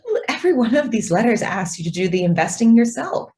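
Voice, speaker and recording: A woman playing a devoted, idealistic young office secretary in a radio drama, speaking earnestly with deliberate emphasis. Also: on the high side, very animated, a little mellow. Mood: dutiful, pressing. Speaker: actor.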